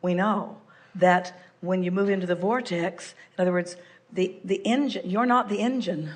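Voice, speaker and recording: An older woman giving a measured, explanatory seminar talk, referring to herself in the third person. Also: fairly low pitch, steady tone. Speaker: spiritual speaker answering a guest. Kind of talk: live seminar channeling talk.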